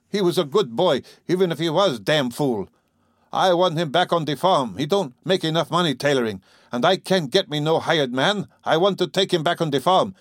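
A man reading an audiobook, voicing a gruff, bullying old farmer who whines and mangles his consonants in a rough rural dialect. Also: fairly high pitch, no room sound, clean studio sound, pitched above the performer's own voice, natural voice.

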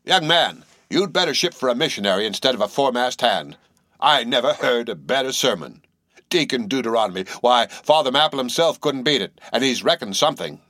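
A man voicing a gruff, hearty old sea captain who talks loudly and stammers a little. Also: medium pitch, animated, fairly quick, no room sound, clean studio sound, pitched above the performer's own voice. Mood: jovial.

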